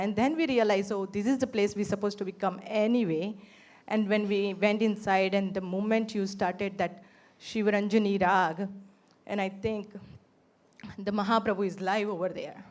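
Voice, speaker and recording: A woman giving a heartfelt personal testimony as a pilgrim, telling her story in animated bursts. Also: medium pitch. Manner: animated storytelling, quotes herself in lively bursts. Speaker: pilgrim sharing reflections.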